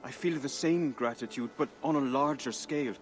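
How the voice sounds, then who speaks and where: A man's voice: medium pitch, moderate pace, close to an everyday voice. A man as a modest, earnest disciple. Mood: earnest, humble. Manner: polite, gentle, formal. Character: modest, earnest, devoted male disciple of a secret brotherhood. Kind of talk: dramatic video game acting. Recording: light music behind the voice.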